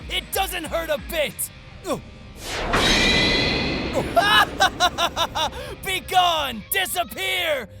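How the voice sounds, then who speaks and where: An actor playing an arrogant, gloating young male card-game villain in an anime-style audio drama, theatrical and taunting, swinging from sly murmurs to shouts. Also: very high-pitched, lively intonation, extremely slow, gravelly, long pauses, over loud music, heavily acted. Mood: gloating, battle fury.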